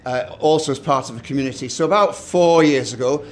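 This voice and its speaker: Brief: middle-aged male co-host with a loud voice, chatty storyteller who stumbles over restarts and slips in dry jokes. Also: mid-range voice, brisk. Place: hotel meeting room.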